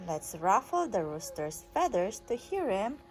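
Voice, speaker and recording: A woman's voice: medium pitch, sing-song, unhurried, faint music behind. A woman reading a children's book aloud as a mother to a young child, in a sing-song, coaxing way with simple questions. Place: at home.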